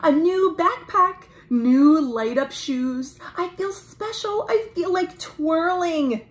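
A woman, reading a children's picture book aloud in an expressive, deliberate way as a storytime host. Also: very high-pitched. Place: small room at home, indoors.

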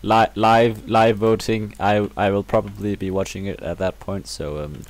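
A man with a slightly low voice chats casually into his mic during a gaming session. He rambles gently and loses his thread now and then, speaking softly rather than projecting. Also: steady tone, moderate pace. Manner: rambling, soft-spoken, loses track. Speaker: gaming channel co-host. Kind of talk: casual chat while playing.

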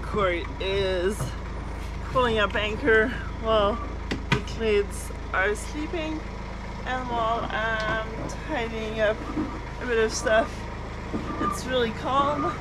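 A woman's voice: a little high, takes it slowly, heavy background noise. A woman in her thirties, a mother, chatting casually to the camera while sailing, slipping between English and French. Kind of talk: casual vlog narration. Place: on a sailboat outdoors.

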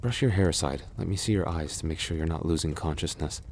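A man playing a cursed, lovelorn young prince in a roleplay audio drama, halting and formal in his speech. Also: very deep voice, a little mellow, slight background noise. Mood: weak, concerned. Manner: halting, breathy sighs and gasps, formal archaic phrasing.

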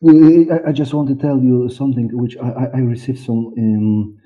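A man in his forties with a Polish accent, giving halting interview answers as he searches for words and repeats himself. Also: mid-range voice, steady tone. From Poland. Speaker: guest, audio drama director and producer. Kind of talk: unscripted interview talk. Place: dark room, on a video call. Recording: muffled phone-like video-call audio.

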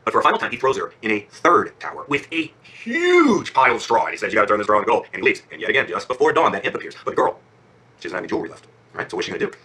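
A man playing an affectionate father who improvises a bedtime fairy tale in an informal, conversational way, checking in with his listener, his voice husky. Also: mid-range voice, very animated, racing, pushed and bright, exaggerated voice. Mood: animated storytelling. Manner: conversational, peppered with check-in questions. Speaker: storyteller. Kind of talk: animated, informal bedtime storytelling.

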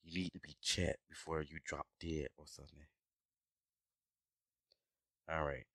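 A man voicing a gruff, protective dragon adventurer in an audio roleplay, talking urgently and with exasperation to his companion. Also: very deep voice, level tone, a little slow, a bit bright, dry recording, studio quality, full character voice. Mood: concerned, protective. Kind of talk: scripted ASMR audio roleplay acting.

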